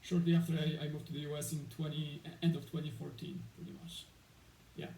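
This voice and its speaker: A man's voice: fairly high pitch, level tone, fairly quick, creaky, warm tone. A man in his thirties from Poland, a guest in a casual interview, rambling with many fillers and restarts. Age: 30s. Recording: echoey room with faint noise.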